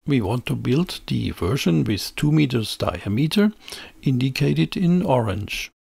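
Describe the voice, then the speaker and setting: Slow, gravelly man explaining technical material. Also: mid-range voice, very animated, darkish tone, no echo, clean studio sound. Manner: measured, explanatory. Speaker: presenter of an electronics tutorial channel. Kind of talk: scripted technical narration.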